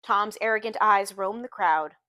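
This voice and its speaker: A woman's voice: mid-range voice, normal speed, pushed and bright, no room sound, clean studio sound. A woman reading a literary novel aloud in the manner of an audiobook narration.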